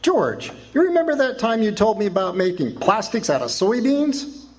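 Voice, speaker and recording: A boastful young rich man, acted by a man talks in a bouncy, high voice that leaps up and down. He sounds brash and full of himself. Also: very animated, normal speed, soft background music. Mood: jovial. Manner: brash, jovial. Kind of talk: live amateur radio drama.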